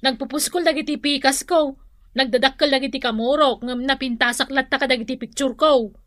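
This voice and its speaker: A woman's voice: high voice, fairly even intonation, a little fast, fairly bright tone, no room sound, studio quality. A woman acting a vain, bubbly, pushy wife hooked on likes in a comedic radio drama, with an Ilocano Filipino accent and an exclamatory, wheedling, bossy delivery. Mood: bossy, excited.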